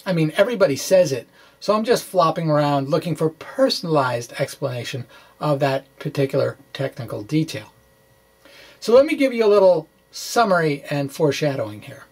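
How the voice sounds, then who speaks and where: A man explaining physics in an informal walkthrough, thinking aloud in a halting way and correcting himself, with wry asides. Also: a little high, expressive, a little mellow, husky. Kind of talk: informal math walkthrough, reading and ad-libbing.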